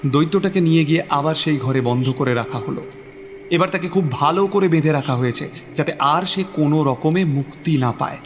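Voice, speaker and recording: A man's voice: a little high, fairly quick, noisy background. A man narrating a horror audio story from a script in a dramatic, suspenseful, theatrical manner. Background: music.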